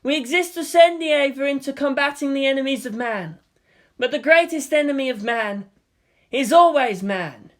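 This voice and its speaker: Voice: sits high, lively intonation, normal speed, pitched above the performer's own voice. A reader voicing an impassioned young man preaching to a crowd in a zealous, declamatory way, in a dramatic story reading. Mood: grave, insistent. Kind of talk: dramatic fan fiction reading.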